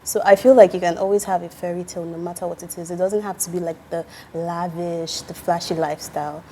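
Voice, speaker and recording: A woman, a guest singer giving animated opinions in a casual panel chat. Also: lowish voice, quick pace, slight background noise.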